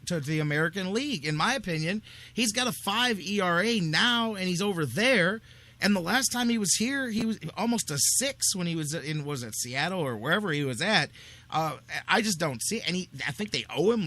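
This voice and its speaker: Bright, higher-voiced man talking sports. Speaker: sports radio host. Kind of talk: casual sports talk commentary.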